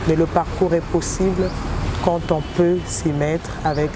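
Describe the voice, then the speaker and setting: A woman in her forties with a Congolese French accent talks slowly and deliberately, with many pauses and hesitations. She is recorded outdoors on a city street, where birdsong and passing cars come through clearly behind her voice. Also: low voice, steady tone, noisy background. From Republic of the Congo. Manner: measured, with frequent hesitations and short phrases. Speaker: musician interviewed as guest. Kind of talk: interview answer. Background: birds and passing vehicles.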